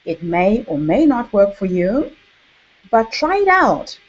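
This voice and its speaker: A woman with a Zimbabwean accent hosts an online workshop, speaking in a measured, deliberate way with emphatic repetition. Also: mid-range voice, sing-song. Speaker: workshop host and author coach. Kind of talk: online workshop presentation to camera. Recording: dull, lo-fi webinar audio.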